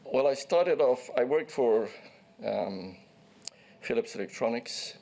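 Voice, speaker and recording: A man in his sixties, originally from Egypt, giving evidence as a witness at a formal hearing and recounting his career in a measured way, with small restarts. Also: medium pitch, expressive, gravelly, dark tone. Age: 60s. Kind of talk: formal testimony.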